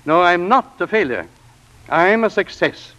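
An actor playing a stern but kindly older office supervisor, deliberate and formal, in 1940s radio style. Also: male voice, sits high, animated, bright, forceful tone, heavily acted. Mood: philosophical. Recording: dull vintage radio broadcast.

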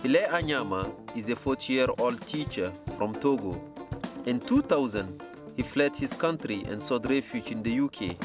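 A man reading a scripted documentary narration in a measured, even way. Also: mid-range voice, music in the background.

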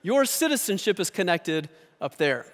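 High-pitched male pastor, thirties, animated sermon delivery, long hall reverb. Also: normal speed. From United States. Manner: animated, gesturing with both hands. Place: large church stage. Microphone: headset.

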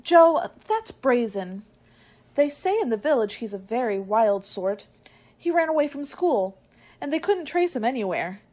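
Woman playing a prim, animated elder sister. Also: on the high side, measured pace. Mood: prim, scolding. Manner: prim, reproving. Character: prim, proper, gossipy elder teenage sister. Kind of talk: amateur radio drama acting.

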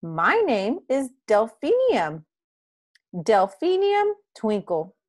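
A whimsical, kindly lady music teacher from a storybook, read by a woman. She speaks in a deliberate, reassuring manner, pitched a little up. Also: wildly swooping pitch, full character voice. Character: kindly, whimsical female music teacher mouse, expecting a baby.

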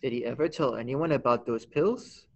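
Casting: young man as naive questioning angel, medium pitch, husky voice, radio play. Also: normal speed. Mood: curious. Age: young adult. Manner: inquisitive. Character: curious, naive angel listening to a story.

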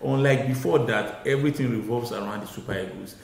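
A man's voice: mid-range voice, a little fast, vocal fry, roomy sound, noisy background. A middle-aged Nigerian man giving opinions as a football pundit in a studio, talking emphatically and repeating his key points. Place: small TV studio with glass-block wall. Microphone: lapel.

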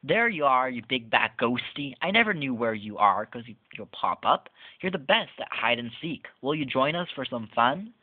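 A man's voice: mid-range voice, big pitch swings, moderate pace, few pauses, breathy edge, dry recording. A man reading the lines of a playful, fearless little girl in a Halloween storybook, chirpy and coaxing. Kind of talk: storybook read-aloud. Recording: tinny phone-like lo-fi audio.

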